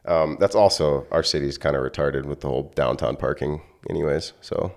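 Very deep-voiced blunt man. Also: fairly quick, vocal fry. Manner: blunt, swears casually, riffs on her points. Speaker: podcast co-host. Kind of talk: casual chat. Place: home podcast setup.

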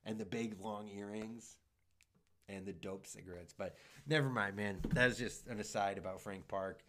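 A young man with a fairly low voice talks in a loose, rambling way, cursing often as he drifts from one story to the next. He sounds like a comedian in an easygoing podcast chat. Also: fairly even intonation, normal speed, crowd noise. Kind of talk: casual chat.

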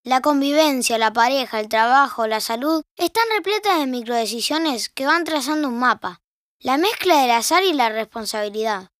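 Wry argentine female narrator, high voice, dry studio sound with some music under it. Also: a bit bright, no room sound. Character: wry all-knowing female narrator of domestic life. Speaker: narrator of an audio fiction. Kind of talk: scripted wry literary narration.

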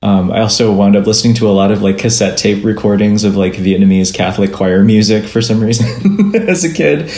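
A man's voice: on the low side, a little fast, a little mellow, reverberant. A man, a comic book artist, answering interview questions thoughtfully on a call, with light laughs. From Philippines-born, raised in Minnesota. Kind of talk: remote interview conversation. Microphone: remote call.

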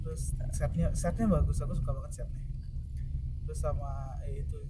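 Enthusiastic chatter over loud noise. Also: medium pitch, steady tone, a little fast. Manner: enthusiastic, informal, repeats words for emphasis. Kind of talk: casual chatty film discussion. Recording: clearly noisy, vehicle-like ambience.

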